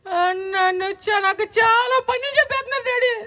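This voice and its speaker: A mischievous little boy puppet with an extremely high, squeaky voice. He whines, pleads and repeats himself dramatically. It is made for a children's church puppet show. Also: a little fast, bright, forceful tone. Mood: sheepish, pleading. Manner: whining, repetitive, addresses the children as friends.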